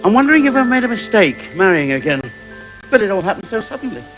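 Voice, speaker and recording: Henpecked Baron type: middle-aged, doleful, high voice with big swoops, music behind. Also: male voice, big pitch swings, fast, fairly bright tone, low-quality recording. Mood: worried, regretful. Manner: doleful, theatrical. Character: Baron, fretful henpecked father in pantomime. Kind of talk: pantomime stage acting.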